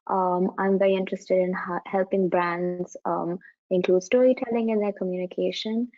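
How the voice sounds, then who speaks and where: A graphic branding student from Mumbai in India speaks in a somewhat low voice with some hesitant ums. The recording is very dry and dull, like a home video call. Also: female voice, steady tone, moderate pace, warm tone, no echo. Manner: hesitant, with fillers. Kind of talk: webinar self-introduction.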